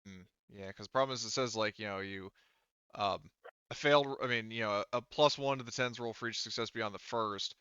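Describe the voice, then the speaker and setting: A man's voice: on the low side, brisk, bright, forceful tone, clean tone, dry recording. A man acting as game master, casually refereeing a tabletop game and thinking aloud in a hesitant way. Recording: band-limited, dull dry audio.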